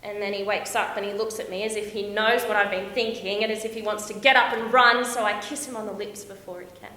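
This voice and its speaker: Woman playing young female traveller in stage monologue: confiding, expressive, bright mid-range voice, roomy sound. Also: medium pitch, close to an everyday voice. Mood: tender, dreamy. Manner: confiding, expressive, with dramatic pauses. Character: young Australian woman backpacker, dreamy, lovestruck, heartbroken, homesick. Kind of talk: theatrical stage monologue, storytelling to an audience. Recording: echoey room with faint noise.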